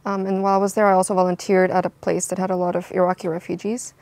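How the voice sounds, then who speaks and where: A young woman from Costa Rica speaks as an interview guest, thoughtful and halting, with many ums. Her voice has a gravelly fry to it. The recording is dry and close. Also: fairly low pitch, level tone, a little mellow, no room sound. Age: young adult. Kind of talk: casual interview answers.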